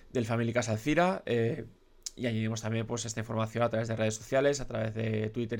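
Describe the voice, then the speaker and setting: A man in his thirties hosting a radio show, nasal and congested from a cold, rambling with many fillers and restarts. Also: lowish voice. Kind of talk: casual radio talk.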